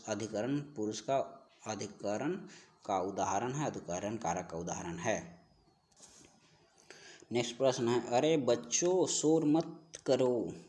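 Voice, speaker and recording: Male teacher, medium pitch, didactic, question-and-answer lecture, repetitive emphasis. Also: a little mellow, a little hiss or hum. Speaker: exam-prep teacher. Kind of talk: instructional lecture, reading out questions and explaining answers.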